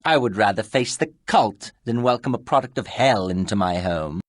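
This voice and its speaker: An actor playing a hostile, prejudiced householder in a fantasy game, speaking with cold, formal contempt. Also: male voice, fairly low pitch, expressive, normal speed, no echo, studio quality, close to an everyday voice.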